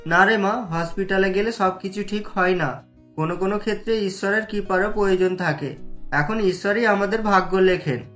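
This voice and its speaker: A man voicing an ailing, gentle, devout father in a cartoon, mild, patient and pleading. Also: high-pitched, moderate pace, faint music behind. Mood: gently pleading.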